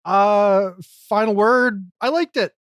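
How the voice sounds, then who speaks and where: A man's voice: sits high, slow pace, clean tone, dry recording, studio quality. A man co-hosting a podcast, talking in a casual, conversational style. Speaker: podcast co-host. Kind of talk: casual chat.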